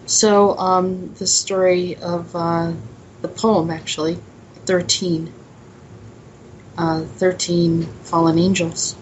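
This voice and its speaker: Low, even-voiced woman with background noise. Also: rough recording. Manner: halting, meandering delivery. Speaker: host and author presenting her own poem. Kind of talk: informal spiritual talk.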